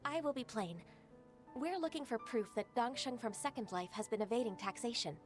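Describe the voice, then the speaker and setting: A woman's voice: a little high, expressive, brisk, lightly acted. A woman voicing a polite, earnest young government secretary in a video game, speaking in a formal, composed way. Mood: polite, businesslike. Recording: studio voice over game music.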